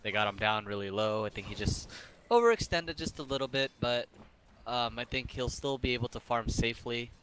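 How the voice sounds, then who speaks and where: Rambling American esports co-caster. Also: male voice, mid-range voice, animated, fairly quick, a bit bright, slight background noise. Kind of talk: casual game analysis commentary.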